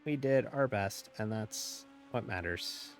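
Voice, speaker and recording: A man's voice: on the low side, expressive, takes it slowly, slight background noise. A man streaming a sim race who talks casually and at length, rambling and chuckling at himself as he drives. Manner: chatty, rambling, chuckles often, self-mocking. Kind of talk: casual live-stream commentary while racing.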